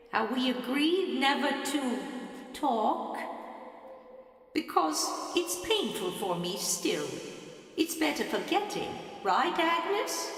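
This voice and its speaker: A woman playing an eccentric, secretive older widow in an audio mystery, brooding and insinuating in halting phrases. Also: sits high, a bit bright, exaggerated voice. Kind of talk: audio mystery drama, remembered dialogue. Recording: distant, heavily echoing hall reverb.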